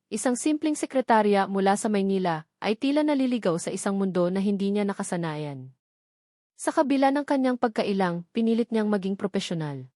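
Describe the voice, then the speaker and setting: Filipina woman reading a love story script, normal pitch, really dry audio. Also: darkish tone, dry recording. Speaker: story narrator. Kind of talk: scripted romance story narration.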